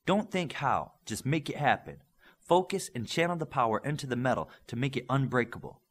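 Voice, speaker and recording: A man's voice: medium pitch, animated, a little fast, slightly rough, pitched above the performer's own voice. Man voicing an instructive, self-assured young superheroine. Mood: coaching, insistent. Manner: coaching, explanatory.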